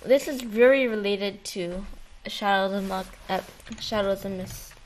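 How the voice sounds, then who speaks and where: A woman's voice: mid-range voice, measured pace, slight background noise, low-quality recording. A woman chatting casually about a video game as a co-host, in an informal, slangy, bantering way. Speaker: podcast co-host.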